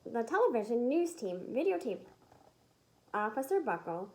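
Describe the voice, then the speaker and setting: Animated woman reading a children's story. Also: a little high, moderate pace, poor audio. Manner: animated, emphatic, acts out the dialogue. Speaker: read-aloud storyteller. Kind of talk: expressive children's picture-book read-aloud.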